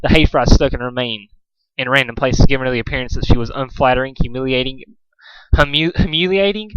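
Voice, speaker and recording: A man's voice: medium pitch, quick pace, fairly bright tone, clear, resonant tone, dry recording, highs cut off. A man reading a story aloud as an amateur narrator, stumbling over and repeating phrases now and then. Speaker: fan fiction reader, narrator.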